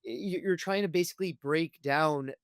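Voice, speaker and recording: A man with a slightly high voice hosts a conversational interview. He builds long, halting questions and keeps checking in as he goes, in a dry recording with very little room sound. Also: dry recording. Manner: halting, wordy questions with repeated check-ins. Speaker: podcast host, interviewer.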